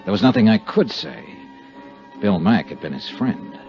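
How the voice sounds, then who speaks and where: An American actor playing a tough, wry insurance investigator in a clipped 1950s radio detective style. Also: male voice, on the low side, wildly swooping pitch, moderate pace, vocal fry, darkish tone, soft background music, exaggerated voice. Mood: brooding, measured. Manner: clipped, hard-boiled delivery. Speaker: lead actor and narrator of a radio serial. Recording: dull 1950s radio recording.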